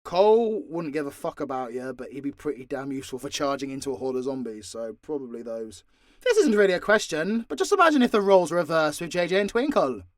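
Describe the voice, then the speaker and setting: A man's voice: fairly high pitch, sing-song, quick pace, no room sound, clean studio sound. A British man casually answering fan questions off the cuff, sweary and joking with mock exasperation. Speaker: audio roleplay creator and voice actor.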